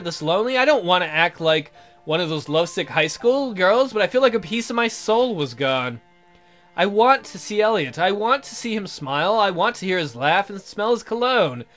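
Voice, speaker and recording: Lovesick young heroine voiced by a man, high pitch, pining and melodramatic, visual novel narration over faint music. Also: expressive, moderate pace, fairly bright tone. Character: lovesick, miserable young woman narrating her romance.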